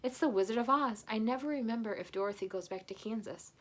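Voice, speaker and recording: A woman's voice: a little high, quick pace, fairly bright tone. A woman voicing a confident, forgetful young girl bear in a storybook. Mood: musing.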